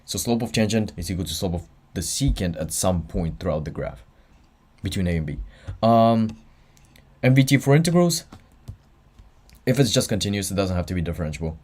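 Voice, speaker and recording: A man's voice: low-pitched, animated, a little fast, a little mellow. A tired young man running a livestreamed exam review, talking offhand and answering chat between explanations. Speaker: student tutor.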